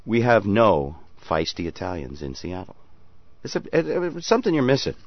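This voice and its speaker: A man's voice: low voice, very animated, normal speed, no echo. A man hosting a call-in radio show, joking and bantering with callers and co-hosts. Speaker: radio talk show host. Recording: slightly dull radio audio.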